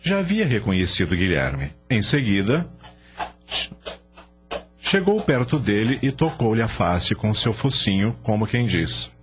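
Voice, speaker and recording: A man's voice: fairly low pitch, very animated, a little slow, soft background music. A man narrating a scripted radio drama as a storyteller. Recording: dull audio with faint hum.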